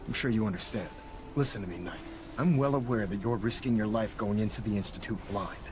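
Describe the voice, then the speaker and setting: A man playing a stern, zealous military leader in a video game, speaking in a formal, authoritative, deliberate way. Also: fairly low pitch, natural voice. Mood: grave, firm. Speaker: military commander briefing a soldier. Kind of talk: video game cutscene acting. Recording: noisy game audio with engine ambience.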